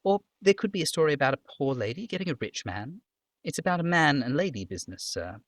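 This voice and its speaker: Casting: man playing innocent, earnest young game girl, pitched high, lively and deferential. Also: quick pace, no echo, clean studio sound. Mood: earnest, helpful. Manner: deferential, innocent, matter-of-fact. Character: polite, earnest young girl chatting helpfully.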